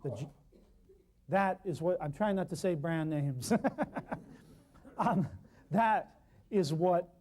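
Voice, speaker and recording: A man teaching a natural healing workshop to a live class, talking loudly and emphatically in a folksy way, with lots of repeated lists of words. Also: on the high side, slow pace, pauses often.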